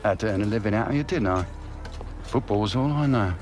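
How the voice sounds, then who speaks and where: A man's voice: on the low side, fairly quick, darkish tone, close to an everyday voice. An actor playing a wry, coaxing middle-aged estranged father in a video game cutscene. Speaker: football scout, estranged father. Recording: score music underneath.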